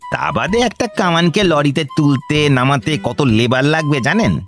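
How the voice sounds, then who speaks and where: Fawning, wily village trader, animated. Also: male voice, a little high, normal speed, soft background music. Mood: pleading, wheedling. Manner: obsequious, long-winded, wheedling flattery. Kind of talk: Bengali radio comedy drama acting.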